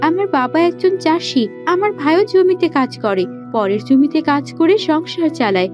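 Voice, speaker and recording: A woman's voice: sits high, expressive, normal speed, music in the background. A woman playing a meek, obedient young village girl in a cartoon fairy tale, polite and submissive. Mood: shy, polite.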